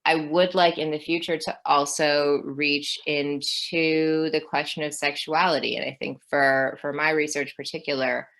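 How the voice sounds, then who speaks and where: A woman historian in her thirties speaks as an interview guest in a low voice. She thinks aloud as she goes, with many ums and small restarts. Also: fairly even intonation, unhurried, few pauses, a bit bright. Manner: thoughtful, with many fillers. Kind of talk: academic interview.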